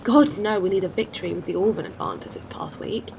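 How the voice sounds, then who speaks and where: An actress playing a decisive, warm-hearted starship captain in a sci-fi audio drama. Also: female voice, mid-range voice, brisk, vocal fry, slight background noise, lightly acted, poor audio. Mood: earnest.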